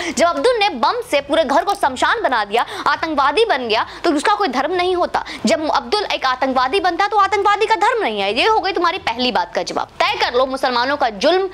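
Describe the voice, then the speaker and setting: A woman with a clearly high voice speaks as a political commentator. She argues combatively, addressing her opponents directly with sarcasm and pointed rhetorical questions. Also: fairly bright tone. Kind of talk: opinion monologue to camera.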